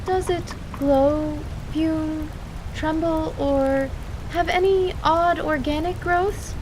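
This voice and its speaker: A precise young woman healer performed by a woman gives careful, polite instructions in a high voice. She is cool and clinical, in fantasy radio theatre with rain behind her. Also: fairly even intonation, slow pace, background noise. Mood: clinical, curious.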